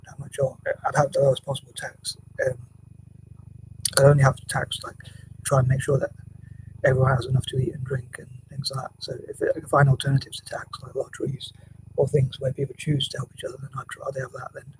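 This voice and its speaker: Quiet guy mumbling fast through a home vlog, dead flat voice, trails off into whispers. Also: mid-range voice, slight background noise, low-quality recording. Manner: hesitant, mumbling, many restarts and fillers; often drops to a near whisper. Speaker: vlogger. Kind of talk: rambling casual vlog monologue. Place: home room, building work next door.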